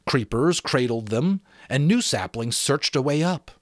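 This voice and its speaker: Middle-aged male storyteller, amateur audiobook feel, expressive and varied in pitch, dry recording. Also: unhurried, no room sound. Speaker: amateur narrator reading a novel aloud. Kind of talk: dramatic audiobook narration with asides to listeners.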